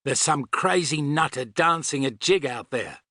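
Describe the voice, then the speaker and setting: A man voicing a gruff, impatient older Australian man for an audiobook, with a scornful, growling drawl. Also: on the high side, animated, a little slow, bright, forceful tone, no echo, clean studio sound, exaggerated voice. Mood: incredulous. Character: gruff grey-haired thick-set older Australian man, impatient hovercraft boss. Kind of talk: audiobook character voice.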